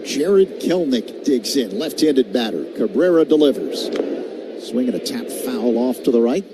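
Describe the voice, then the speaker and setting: A man's voice: medium pitch, moderate pace. A man doing live radio play-by-play commentary of a baseball game. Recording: steady background noise.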